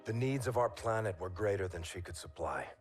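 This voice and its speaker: Actor as deep, solemn sci-fi chronicler. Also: male voice, expressive, brisk, natural voice. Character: grave male chronicler of space colonization. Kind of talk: scripted sci-fi game narration. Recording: very loud music over voice.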